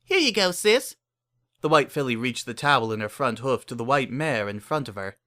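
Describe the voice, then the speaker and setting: A man's voice: medium pitch, fairly quick, bright, forceful tone. A man narrating a scripted story, reading the prose as written.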